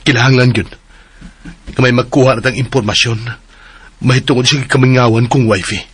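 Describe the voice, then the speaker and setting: A man's voice: mid-range voice, fairly even intonation, a little fast, lightly acted. An actor voicing a grieving husband missing his wife, earnest and polite in a radio drama.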